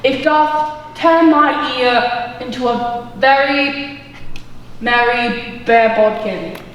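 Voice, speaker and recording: A woman's voice: high-pitched, very slow. A young woman playing a bewildered, unprepared actor bluffing through a stage play, hesitant and awkwardly polite. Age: young adult. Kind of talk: stage comedy acting. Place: large indoor school auditorium, on stage. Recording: echoey auditorium, distant mic, faint noise.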